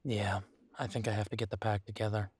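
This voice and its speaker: Low-voiced, earnest werewolf pack leader played by a man. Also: level tone, brisk, husky, no room sound. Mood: firm, resolute. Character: protective werewolf pack alpha, adult man, earnest, weary, determined. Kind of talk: intimate roleplay audio drama.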